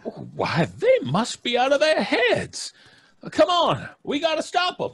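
A middle-aged man playing a gruff, determined small-town doctor in an old-time radio horror play, with dramatic pauses. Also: very high-pitched, huge pitch swings, fairly bright tone, exaggerated voice. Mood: shaken, grave. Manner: urgent, commanding, dramatic pauses.

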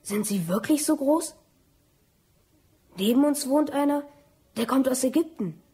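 High-voiced, dreamy child. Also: female voice, animated, a little slow, warm tone, husky, full character voice. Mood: wondering. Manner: wondering, naive. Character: dreamy, curious small child longing to travel. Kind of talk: German radio play acting.

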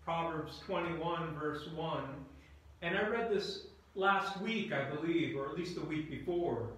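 A pastor, a man in his fifties, preaching a sermon in a halting, deliberate way with repeated words and pauses, inside a church. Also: a little high, fairly bright tone. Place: indoors in a church at the front by a screen. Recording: very echoey church room.

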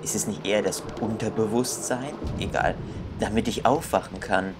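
A man's voice: fairly low pitch, normal speed, music in the background. A young man commenting casually on a game he plays, musing aloud and reading its text. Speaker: gaming video host.

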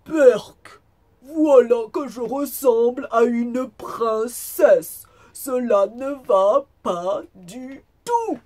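A woman voicing a big, grumpy, vain male wolf from a children's storybook, with loud, exclamatory, theatrical complaining. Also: a little high, expressive, drawn-out words, voice pushed up, full character voice. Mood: disgusted, indignant.

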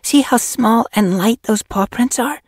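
Someone voicing a sharp-tongued young she-cat, raised pitch. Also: a little slow, dry recording, close to an everyday voice. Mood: observant, brisk. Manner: dry, scolding.

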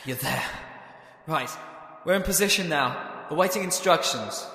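A man's voice: on the high side, expressive, moderate pace, bright, forceful tone, reverberant, in a large hall. A man playing a nervous, sarcastic resistance fighter on a radio mission in an audio drama. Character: jumpy, sardonic male resistance fighter.

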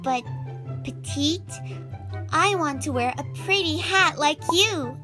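A woman voicing a sweet, curious little girl in a children's puppet show, gentle and a bit hesitant. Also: high voice, slow. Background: children's music.

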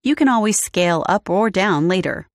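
A woman narrating a scripted step-by-step software walkthrough in a clear, even instructional manner. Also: mid-range voice, normal speed, no echo, clean studio sound. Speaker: tutorial narrator. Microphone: studio voice-over.

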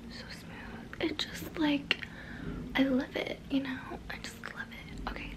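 A woman in her twenties doing a whispered ASMR roleplay, breathy and very close to the mic, softly repeating words. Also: high-pitched, little pitch movement, rough recording. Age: 20s. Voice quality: breathy, mostly unvoiced whisper. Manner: soft, halting, repeats words slowly. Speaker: ASMR creator. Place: small bedroom, indoors. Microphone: very close to the microphone.

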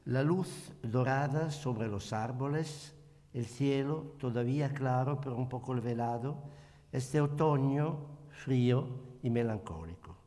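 Older Italian-accented lecturer, slow, mellow, reads reflectively; table mic, hall reverb. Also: male voice, mid-range voice. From Italy. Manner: slow, measured, reflective reading. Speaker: guest speaker. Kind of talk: lecture read from notes. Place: conference hall at a panel table. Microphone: table microphone.

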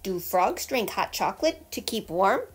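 A woman's voice: mid-range voice, very animated, moderate pace, soft background music. A woman reading a children's picture book aloud like a teacher, with emphatic storytelling delivery. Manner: clear storytelling delivery with emphatic questions and answers.

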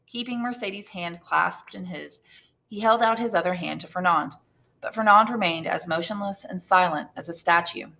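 A woman reading a classic novel aloud as an expressive storyteller. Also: fairly low pitch, normal speed, gravelly. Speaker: audiobook reader, English teacher. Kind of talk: expressive scripted novel narration.

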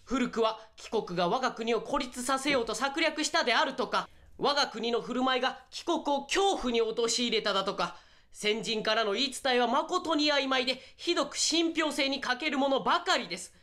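A woman's voice: high-pitched, normal speed, a bit bright. A woman playing an earnest, fervent envoy in a radio drama, speaking formally and theatrically. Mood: earnest pleading. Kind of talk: radio drama acting, formal diplomatic speech.

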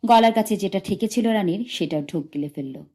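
A woman's voice: mid-range voice, lively intonation, a little fast, dark tone. A woman narrating a short story as an audiobook reader, expressively and from a script.

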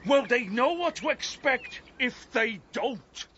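An actor playing a hefty, belligerent farmer, blunt and loud, in a radio drama. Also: male voice, very high-pitched, animated, slow, highs cut off, exaggerated voice. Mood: furious, defiant. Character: hefty, belligerent male farmer guarding his crop.

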